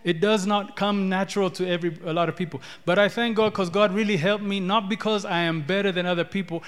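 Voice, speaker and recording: A man's voice: high voice, clean tone. A man from Ethiopia preaching a sermon, repeating words and building in rising declarations. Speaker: pastor. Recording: long hall reverb.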